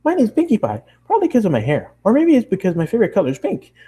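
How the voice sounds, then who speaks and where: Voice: medium pitch, wildly swooping pitch, racing, dark tone, slightly rough, pitched above the performer's own voice. A narrator voicing a bubbly, hyperactive young female pony baker who gushes and chatters nonstop in a cartoon story. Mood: gushing, excited. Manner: gushing, squealing, breathless rambling chatter.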